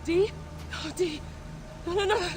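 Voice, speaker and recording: An actress voicing a defiant, driven renegade researcher in a video game, earnest and intense. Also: female voice, mid-range voice, moderate pace, music in the background, close to an everyday voice. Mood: anguished, furious. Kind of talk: video game cutscene acting.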